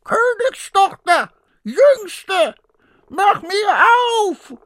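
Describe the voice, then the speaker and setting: A man voicing a male frog in a fairy tale, in a high, drawn-out, croaking way. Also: sing-song, a bit bright, no room sound, clean studio sound, full character voice. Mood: calling. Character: pleading slow-voiced male frog.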